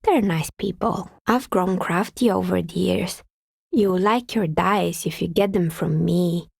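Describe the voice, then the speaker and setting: An actress playing a wry, world-weary artisan dicemaker in a video game, speaking in a dry, understated, matter-of-fact way with a husky, breathy voice. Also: female voice, on the low side, unhurried, no room sound, heavily acted. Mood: calm, assured. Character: wry, world-weary woman artisan who makes novelty dice. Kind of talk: video game character dialogue.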